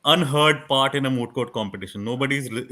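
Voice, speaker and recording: A man with an Indian accent and a loud voice, explaining things conversationally as a guest expert, often restarting his phrases. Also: mid-range voice, normal speed. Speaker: guest expert on moot court. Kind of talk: conversational advice in an online workshop.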